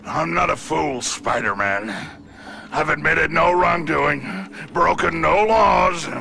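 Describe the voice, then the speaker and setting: An actor playing a big, scheming crime boss in a video game, pompous, theatrical and volatile. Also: male voice, on the high side, takes it slowly, gravelly, music in the background, full character voice. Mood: indignant. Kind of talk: video game cutscene voice acting.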